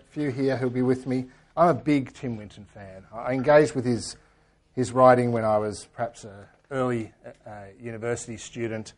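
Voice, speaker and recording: A hoarse man speaking to a gathering in an earnest, steady way. His voice sits at an ordinary pitch, and his sentences tend to fade off quietly. He sounds a little worn and croaky throughout. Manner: earnest, often trailing off quietly. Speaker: speaker at a church talk. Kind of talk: talk with book reading.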